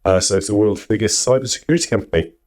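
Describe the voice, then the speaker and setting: A man introducing himself and his work at a conference talk in a relaxed, measured way. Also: fairly low pitch. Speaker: conference speaker. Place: conference hall before an audience.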